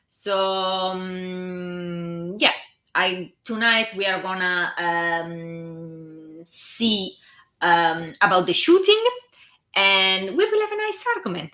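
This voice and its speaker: A woman with a strong Italian accent reads a story aloud on a live stream, and she often breaks off for chatty asides. Her voice is bright and animated, and it rises and falls a great deal. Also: fairly low pitch, slow. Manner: lively, chatty, self-conscious asides. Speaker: fan fiction reader and live streamer.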